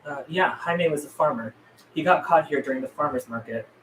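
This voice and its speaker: A man's voice: on the high side, a little fast, fairly bright tone, natural voice. A man reading the part of a guarded, earnest zombie apocalypse survivor at a script table read, terse in manner. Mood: matter-of-fact. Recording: roomy, distant mic, faint noise.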